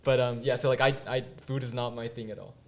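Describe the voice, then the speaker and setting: A man's voice: mid-range voice, fairly even intonation, fast. A man in his twenties from the Bay Area, chatting casually on a student podcast, rambling with lots of fillers and frequent laughter. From Bay Area, California. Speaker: podcast guest. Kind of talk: casual chat.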